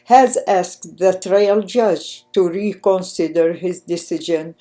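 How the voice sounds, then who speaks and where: An older Palestinian woman reads out a political speech in Arabic-accented English. Her voice is somewhat low and mellow, and she moves slowly through the text. The phrasing is deliberate and a little halting, as of someone reading in a second language. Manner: deliberate, halting phrasing with stumbles over words. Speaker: activist speaker. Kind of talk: prepared political speech, read aloud.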